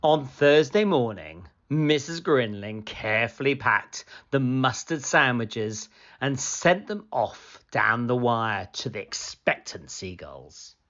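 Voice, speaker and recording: A woman reads a picture book aloud for children. Her low voice moves slowly and with plenty of melody. The recording is a little dull and lo-fi. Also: animated. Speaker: storybook reader. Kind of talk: read-aloud children's story narration.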